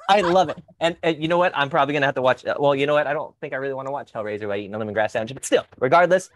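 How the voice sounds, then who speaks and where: An excitable man in his thirties hosts a casual chat show on a headset mic at home. He gushes, laughs easily, and his voice sounds dry and close, with no room around it. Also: a little high, dry recording. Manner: excitable, gushing, quick to laugh. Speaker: host. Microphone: headset, video call.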